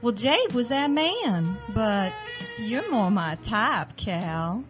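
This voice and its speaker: Woman playing fiery country wife, flirtatious, high animated voice, campy radio drama, dull sound with music. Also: slow pace. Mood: sultry. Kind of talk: campy B-movie parody radio acting.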